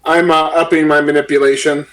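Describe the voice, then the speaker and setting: A man's voice: a little high, level tone, fairly quick, a bit bright. A man chatting casually as a player in a tabletop role-playing game. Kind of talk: casual chat.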